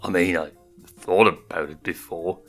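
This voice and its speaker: A man's voice: deep voice, steady tone, a little slow, a bit bright, soft background music. A man voicing a sly young male lion cub who is easily irritated and teases dryly, in a story reading.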